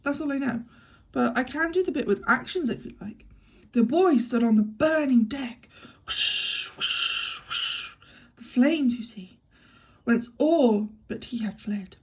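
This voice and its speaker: A woman's voice: high voice, expressive, a little fast, gravelly, pitched above the performer's own voice. A woman reading an audiobook, voicing a wry, easygoing young man working as a tour guide, in a dry, lightly ironic tone.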